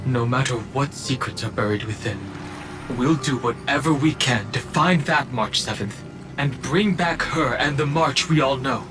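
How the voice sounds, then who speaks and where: A man's voice: medium pitch, normal speed, over music, lightly acted. A man playing a stoic, serious young adventurer in a video game, earnest, formal and restrained. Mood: resolute. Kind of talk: video game character voice acting.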